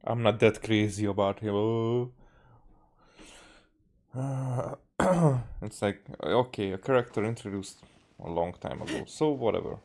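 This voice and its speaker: Halting male game streamer from Hungary. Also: lowish voice, a little mellow. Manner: halting, repeating words as he thinks. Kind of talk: casual playthrough commentary.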